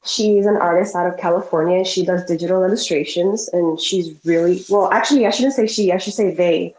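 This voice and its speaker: A woman with a stuffy cold chatting casually as a guest artist on an online call, often pausing to clarify herself. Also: fairly low pitch, darkish tone. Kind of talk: casual chat. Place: live online audio call from New York. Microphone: headset. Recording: roomy, echoing online call.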